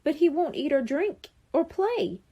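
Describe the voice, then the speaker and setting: A woman's voice: high voice, animated, measured pace, dark tone, muffled recording, voice pushed up. A woman voicing an earnest, eager young schoolboy in a children's audiobook.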